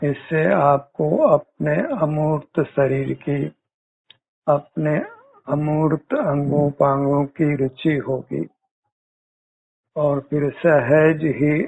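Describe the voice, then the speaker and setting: A man leading a guided meditation as a teacher, giving measured instructions with frequent pauses. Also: on the high side, husky. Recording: muffled, phone-like narrow-band audio.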